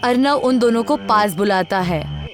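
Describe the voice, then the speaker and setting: A woman's voice: medium pitch, animated, measured pace. A woman narrating a scripted horror story. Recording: music under the voice.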